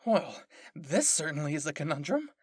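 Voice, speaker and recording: A man's voice: fairly high pitch, very animated, normal speed, husky, no echo, studio quality. A man playing an inquisitive, analytical woman military officer in an audio story, pondering and articulate. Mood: puzzled.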